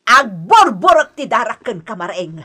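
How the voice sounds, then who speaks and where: A woman's voice: on the high side, very animated, measured pace, bright, forceful tone, slightly rough, heavily acted. A Filipino woman with an Ilocano accent acting a quarrelsome older mother-in-law in a radio drama, theatrical and complaining. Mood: indignant scolding. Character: scolding, wheedling older mother-in-law, quick to take offence.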